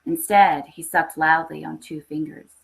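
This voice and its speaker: A woman reading a literary horror short story aloud with expressive, scripted narration. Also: sits low, unhurried, vocal fry, low-quality recording. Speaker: story reader.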